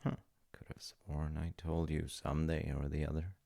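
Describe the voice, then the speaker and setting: A man playing a gentle, playful dad, telling a bedtime folk tale in a husky, gravelly voice with unhurried pauses and soft asides. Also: rumbling low, steady tone. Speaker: audio roleplay voice actor playing a dad. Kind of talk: intimate comforting roleplay, improvised-sounding bedtime storytelling.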